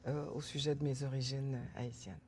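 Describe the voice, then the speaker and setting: A woman's voice: extremely low pitch, fairly even intonation, normal speed, slightly rough. Middle-aged woman guest on a talk show, thoughtful, lots of euh and little laughs. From Canada, Haitian-born. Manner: reflective, frequent fillers and soft laughs. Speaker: interview guest, consultant. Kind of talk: conversational interview.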